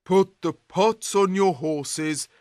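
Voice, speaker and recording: A man's voice: high voice, slow, a little mellow, no room sound, studio quality. A man voicing an angry, scheming bandit chief in a commanding, menacing manner for a storybook. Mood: plotting, commanding.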